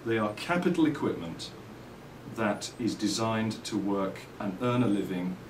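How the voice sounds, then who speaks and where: A man's voice: fairly low pitch, lively intonation, measured pace. Middle-aged Englishman in a roomy, distant recording. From England. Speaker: company owner and loudspeaker designer being interviewed. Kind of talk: reflective interview answers with anecdotes. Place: indoors at a trade show. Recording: roomy, distant mic, faint background noise.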